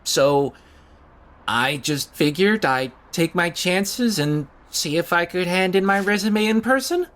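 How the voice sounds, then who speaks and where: A woman plays an awkward young job seeker in an audio drama, with a fairly low voice that swings in pitch. The delivery is nervous and halting, unhurried and full of rambling, stammered explanations. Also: expressive. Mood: defensive, explaining. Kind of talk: naturalistic audio drama acting.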